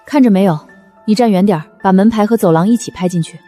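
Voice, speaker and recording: A woman playing an efficient young woman giving practical instructions in an animated drama, brisk and matter-of-fact. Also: medium pitch, faint music behind, lightly acted. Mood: brisk, alert.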